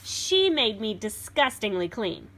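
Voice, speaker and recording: Storybook little beast woman reader, put-upon and dramatic, bright forceful delivery, faint background noise. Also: medium pitch, sing-song, a little slow. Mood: indignant. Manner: dramatic, aggrieved storybook narration.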